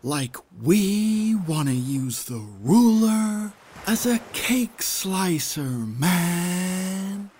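A woman putting on a low, drawling voice for sheepish, laid-back bush creatures. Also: very slow, slight background noise. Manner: drawling, relaxed slang.

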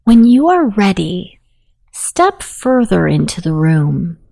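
A woman leading a guided meditation in a soothing, hushed manner with long pauses. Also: on the low side, sing-song, creaky. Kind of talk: guided meditation, self-hypnosis narration.

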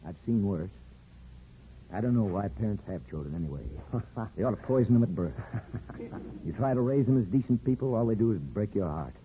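Deep, gruff small-town father played by a man. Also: animated, brisk, long pauses, warm tone, a little hiss or hum, close to an everyday voice. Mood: gruff, wounded. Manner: grumbling, folksy. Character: gruff, stubborn, sentimental middle-aged small-town barber and father. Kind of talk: 1950s radio drama acting and narration. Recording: muffled 1950s radio broadcast, music.

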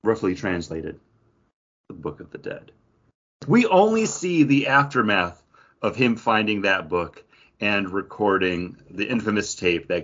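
A man's voice: sits low, a bit bright, lo-fi sound. A man co-hosting a podcast in casual chat, telling stories with theatrical build-ups. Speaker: podcast co-host. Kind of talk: casual chat with dramatic storytelling.

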